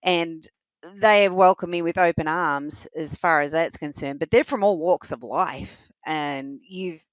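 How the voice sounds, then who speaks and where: A woman's voice: deep voice, a little slow, dry recording. An Australian woman from Australia, a podcast guest who talks freely and laughs often in casual chat. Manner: lively, laughing, talkative.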